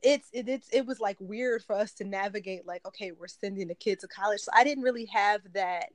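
A woman's voice: mid-range voice, fairly quick, no echo. A woman in her thirties from Detroit, hosting her own interview show in a chatty, upbeat way. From Detroit, USA. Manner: chatty, upbeat, light laughs. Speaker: podcast host. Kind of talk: casual interview chat.

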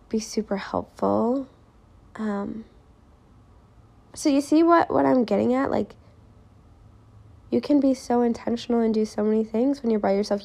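A young woman chatting alone on her podcast, her voice a bit raspy today and fried at the edges. She sits a little above the usual pitch for a woman and talks in a relaxed, reflective way, voicing her own thoughts as she goes. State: voice more raspy than normal. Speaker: podcast host. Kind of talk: casual solo podcast talk.

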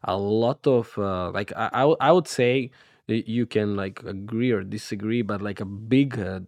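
A man's voice: fairly low pitch, normal speed, darkish tone, no room sound. A man hosting a podcast, asking long rambling questions full of fillers. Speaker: podcast host. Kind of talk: casual interview talk.

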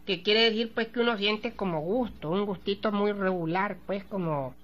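A sly country joker who rambles and teases, padding his talk with fillers. The voice is bright and forceful, heard through a dull, lo-fi old radio sound. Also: high voice, normal speed. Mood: explaining. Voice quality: rambling, filler-heavy, mocking. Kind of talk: radio drama acting.

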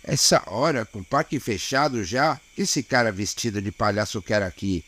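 A weary Brazilian policeman acted by a man grumbles his way through an audio drama night shift. His voice sits fairly low and his speech is colloquial and dogged. Some noise surrounds him. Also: normal speed, a bit bright, natural voice. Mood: suspicious, muttering. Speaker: voice actor.

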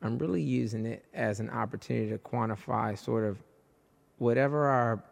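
A man hosting a casual podcast conversation, hoarse with his voice nearly gone, animated and jumping up in pitch when excited. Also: moderate pace, big-room sound. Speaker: podcast host.

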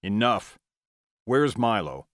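A man's voice: low-pitched, sing-song, normal speed, no room sound, studio quality. A man voicing a nonchalant, unflappable male vampire in an audiobook, curt and threatening. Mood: stern.

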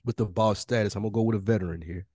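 A man's voice: lowish voice, fairly even intonation, racing, warm tone, no echo, clean studio sound. A man co-hosting a podcast in casual conversation, laid-back and slangy in how he talks. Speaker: podcast co-host.

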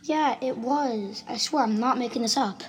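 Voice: medium pitch, moderate pace, close to an everyday voice. Someone acting earnest schoolboy, noisy home recording. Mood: indignant. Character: Timmy, earnest schoolboy who insists on what he saw. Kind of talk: amateur radio drama acting. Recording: home recording with clear noise and clicks.

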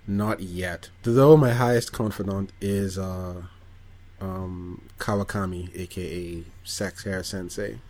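A man's voice: low voice, fairly even intonation, takes it slowly, a little mellow. A man co-hosting a podcast, chatting casually in a hesitant, wry way. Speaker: podcast co-host. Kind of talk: casual chat.

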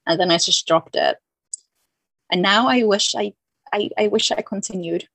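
A woman's voice: mid-range voice, moderate pace, a bit bright, no echo. A young woman from Finland, chatting casually as a podcast guest, self-deprecating and full of restarts. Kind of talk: casual chat.

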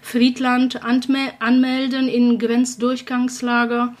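A woman's voice: a little high, monotone, slow pace. A woman of about sixty from Poland, speaking German with a Polish accent and a speech impediment on the R, talking hesitantly with many fillers as a guest. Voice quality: cannot pronounce R, lip trill instead. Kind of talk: casual conversation.

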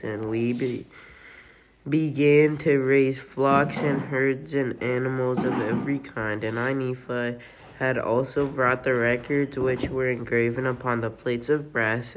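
Unhurried man reading scripture aloud. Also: medium pitch, slight background noise, rough recording. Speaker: family scripture reader. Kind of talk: read-aloud of scripture at home.

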